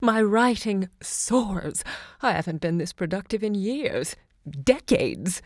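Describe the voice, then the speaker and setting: An actress playing an elderly, unrepentant literary hostess in an audio drama, measured, poetic and intimate. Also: female voice, medium pitch, big pitch swings, darkish tone, dry recording, clean studio sound. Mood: delighted, defiant. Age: older.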